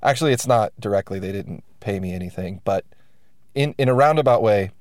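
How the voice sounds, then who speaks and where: A man's voice: fairly low pitch, animated, brisk, darkish tone, no room sound, studio quality. A man hosting his own podcast, sounding stuffed up from allergies, talking in a wry, self-deprecating ramble full of restarts. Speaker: podcast host. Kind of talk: casual rambling monologue.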